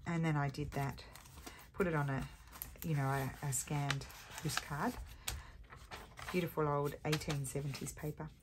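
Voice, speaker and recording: A woman with a low, slightly scratchy voice talks through a craft tutorial at her desk. She is chatty and self-mocking, thinking aloud as she works. A faint hiss of noise sits under the recording. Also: steady tone, normal speed, pauses often. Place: indoor craft table. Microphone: overhead camera on a desk.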